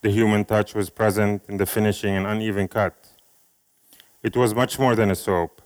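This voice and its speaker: A man from Syria whose first language is Arabic, giving a slow, measured reading of a speech and a poem at an event. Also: fairly low pitch, little pitch movement, a little mellow. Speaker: speaker at an event.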